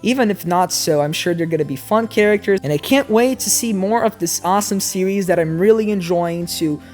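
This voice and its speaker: A woman delivers casual video game commentary as an essayist. Her pitch sits in a middle range for a woman, and she talks informally with animated emphasis. Music plays behind her voice. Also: brisk.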